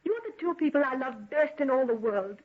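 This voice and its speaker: An actress playing an anxious, devoted young fiancée in an earnest, pleading golden age radio drama style. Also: female voice, sits high, expressive, a little fast. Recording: tinny band-limited vintage radio recording.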